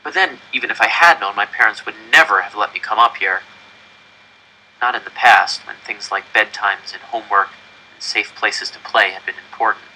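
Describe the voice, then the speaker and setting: Bright, forceful male story reader. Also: lowish voice, moderate pace, slight background noise, poor audio. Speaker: audiobook reader. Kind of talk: scripted first-person story narration, expressive read-aloud.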